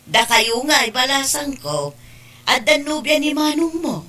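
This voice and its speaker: A woman's voice: sits high, very animated, a little slow, pushed and bright, heavily acted. A woman playing an older, gossipy and tearful provincial aunt in a radio drama, with an Ilocano Filipino accent. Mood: chatty, complaining.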